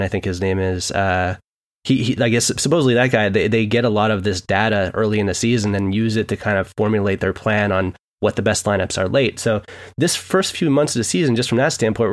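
Podcast guest, man, low voice, measured and rambling. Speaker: guest writer. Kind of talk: explaining his article in a casual podcast chat.

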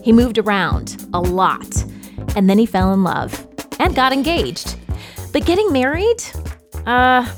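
A woman's voice: on the high side, lively intonation, measured pace, soft background music. A woman hosting and narrating a podcast, playful and teasing, laughing often between questions. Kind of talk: scripted narration mixed with interviews.

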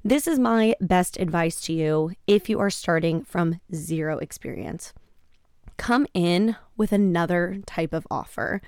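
A woman podcast host speaks in a casual, emphatic way, as if advising her listeners. Her voice sits a little low and sounds slightly croaky. The recording is dry, with very little room sound. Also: unhurried, a little mellow, dry recording. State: slightly raspy, croaky voice after a conference. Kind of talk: casual solo podcast talk, advice.

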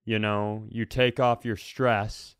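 A man's voice: fairly low pitch, flat delivery, takes it slowly, a bit bright, clear, resonant tone, dry recording, studio quality. An American man co-hosting a podcast, talking casually and rewording his thoughts as he goes. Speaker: podcast co-host.

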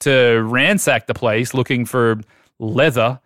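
A man's voice: mid-range voice, slow pace, no echo. Jokey guy hosting a podcast, chill middle voice, dry sound. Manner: playful, joking, lively. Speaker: podcast host. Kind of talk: casual podcast chat.

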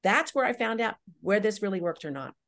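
A woman's voice: mid-range voice, animated, brisk, a bit bright. A middle-aged woman answering interview questions conversationally as a podcast guest, enthusiastic and emphatic, often repeating herself. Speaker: podcast guest, audio drama writer-director.

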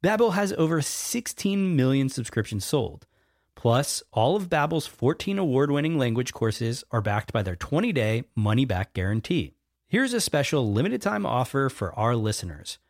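Lively male ad read. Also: medium pitch, moderate pace, no echo, clean studio sound. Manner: bright, lively ad delivery. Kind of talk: sponsor read.

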